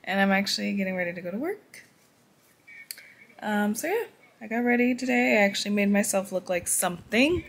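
A young woman talking casually into her phone camera, relaxed and rambling. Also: mid-range voice, brisk, long pauses. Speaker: vlogger. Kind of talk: casual vlog chat. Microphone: phone camera close up.

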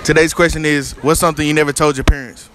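A man's voice: fairly high pitch, level tone, quick pace, noisy background. A young man hosting a casual street interview inside a shopping mall, greeting viewers in an upbeat way.

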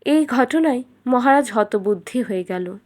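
A woman's voice: fairly high pitch, lively intonation, unhurried, darkish tone. A woman narrating a scripted fairytale for children as a storyteller.

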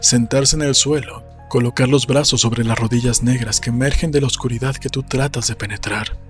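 A man narrates a novel in a measured, solemn way. His voice has a middling pitch and is close on the mic, with music underneath. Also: steady tone. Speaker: audiobook narrator. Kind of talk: scripted literary narration. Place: small room indoors. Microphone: desk microphone close to the mouth, headphones. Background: soft music.

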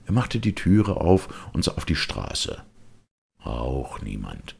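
A male storyteller with an extremely deep, creaky voice reads a children's tale. His delivery is theatrical and deliberate, sweeping dramatically up and down in pitch. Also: wildly swooping pitch.

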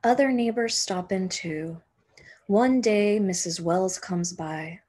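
Slow, husky woman reading a storybook. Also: mid-range voice, mellow. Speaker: teacher reading a picture book aloud. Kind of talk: expressive children's storybook read-aloud.

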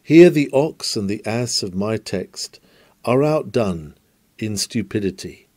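A man's voice: fairly low pitch, expressive, slow, a little mellow. A man reading aloud an old sermon in a declamatory preaching style, pushing up hard on exclamations and questions. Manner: declamatory, rising emphasis on exclamations. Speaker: reader of a sermon.

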